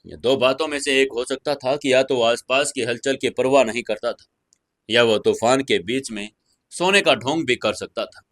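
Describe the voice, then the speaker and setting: A man's voice: mid-range voice, moderate pace, a bit bright, dry recording. Man narrating a serial drama, dry close sound. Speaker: audio story narrator.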